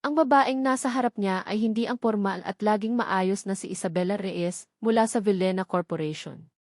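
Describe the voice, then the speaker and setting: Woman, dramatic story narration, measured suspenseful phrases, mid pitch, dry recording. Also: no echo.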